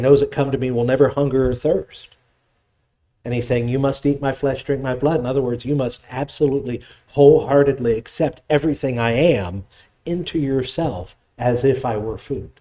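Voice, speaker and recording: Mellow-voiced man preaching a sermon. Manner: measured expository preaching, voice drops low in prayer. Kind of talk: sermon with scripture reading and prayer.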